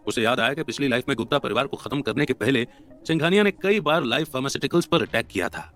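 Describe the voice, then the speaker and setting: A man's voice: medium pitch, a bit bright. A man narrating a scripted dramatic story as an audio storyteller. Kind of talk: scripted dramatic story narration in Hindi mixed with English words. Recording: narration over background music. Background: background music.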